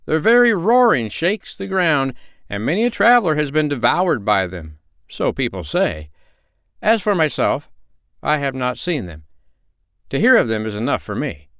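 A man's voice: a little high, big pitch swings, normal speed, fairly bright tone, dry recording, clean studio sound, voice pushed up. A man voicing a solemn, gloomy male wayfarer in a bedtime story, speaking in a mournful, old-fashioned way.

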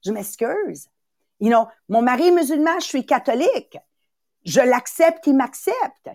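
A Quebec-accented woman in her sixties gives a motivational talk, animated and emphatic. She circles back to the same phrases and slips into quick little acted voices. Her pitch sits fairly high and leaps around a great deal, and the recording is dry with no room sound. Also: dry recording. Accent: Quebec French. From Italy. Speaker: podcast host and sales coach.

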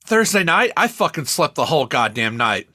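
A man co-hosting a podcast in casual banter, swearing freely and rambling in an animated way. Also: fairly high pitch, moderate pace, no room sound, studio quality. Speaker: podcast co-host.

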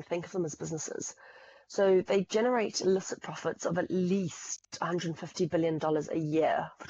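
A woman's voice: on the low side, darkish tone, dull audio. A woman from Zimbabwe with a Zimbabwean accent, a podcast guest explaining things in an earnest, fact-heavy way with frequent restarts. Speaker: podcast guest, fraud specialist. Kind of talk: explanatory interview talk.

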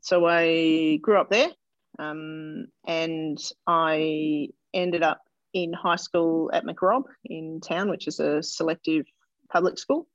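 An Australian woman, a doctor, answering interview questions in a thoughtful, hesitant way, with many pauses and restarts. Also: sits low, steady tone, no echo, highs cut off. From Australia (Melbourne).

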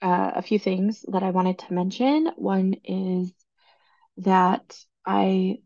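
A chatty woman in her thirties hosts a casual podcast, talking with easy enthusiasm. Her voice has a gravelly fry as it recovers from laryngitis, and the recording sounds a bit dull and lo-fi. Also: mid-range voice, steady tone, slow pace, dark tone. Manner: chatty, enthusiastic about organizing. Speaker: podcast host. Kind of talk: casual solo podcast talk.

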